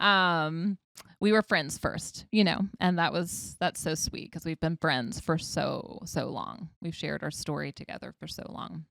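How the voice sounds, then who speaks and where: A woman, a homeschooling mother, chatting as a podcast guest in a warm, slightly shy way. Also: low-pitched, unhurried, gravelly, no room sound, clean studio sound. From Georgia, US. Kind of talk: casual chat.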